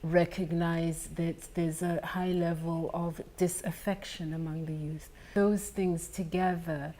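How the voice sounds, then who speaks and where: A woman speaking in a documentary interview about a youth jobs program, measured and earnest. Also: lowish voice, level tone, warm tone. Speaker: foundation representative.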